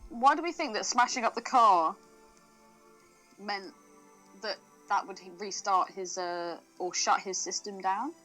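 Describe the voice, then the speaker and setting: A woman's voice: medium pitch, measured pace, pushed and bright, faint music behind, rough recording. A woman with a British accent co-hosting a podcast, chatting casually about films with jokey, sweary banter. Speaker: podcast co-host.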